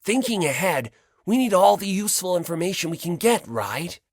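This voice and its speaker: A man's voice: high voice, sing-song, normal speed, no room sound, studio quality, pitched above the performer's own voice, full character voice. A man voicing a cheerful, self-deprecating teenage boy who needles with frivolous, insincere politeness in an audiobook. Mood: eager, probing.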